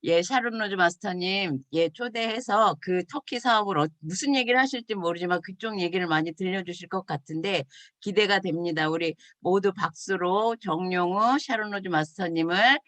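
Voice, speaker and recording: A woman's voice: on the low side, moderate pace, fairly bright tone, dry recording. A woman hosting a video call, introducing the next speaker in a friendly, chatty way. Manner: friendly, chatty, laughs easily. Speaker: meeting host introducing a guest.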